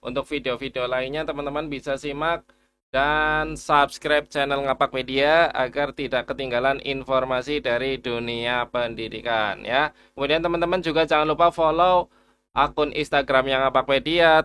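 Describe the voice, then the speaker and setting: A man's voice: mid-range voice, sharp tone, a little hiss or hum. A man giving a casual, reassuring screen-share tutorial and addressing viewers as friends. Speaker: education YouTuber explaining teacher data portal.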